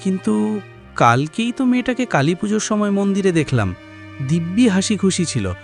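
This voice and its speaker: A man's voice: sits high, sing-song, moderate pace, creaky, faint music behind, voice pushed up, exaggerated voice. A man voicing a doubtful rustic villager in an audio horror story, puzzled and folksy.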